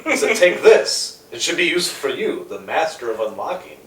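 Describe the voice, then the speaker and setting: A man's voice: medium pitch, sing-song, moderate pace, fairly bright tone. A man co-hosting a casual group debate, emphatic and sarcastic, swearing freely. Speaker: podcast host debating films. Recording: roomy, distant mic, faint noise.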